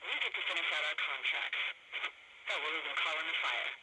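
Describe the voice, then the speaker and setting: A woman's voice: low voice, a little fast. An actress playing a fed-up, reckless woman, heard on a played-back covert tape in a game drama. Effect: played-back tape recording. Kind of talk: naturalistic game dialogue on tape. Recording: noisy, radio-like covert tape recording.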